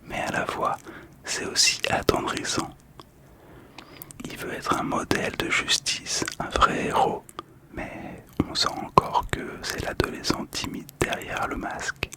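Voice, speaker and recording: A man speaking in a soft, breathy whisper, calmly and hesitantly telling a story in ASMR style. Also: unhurried. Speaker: host of a relaxation video.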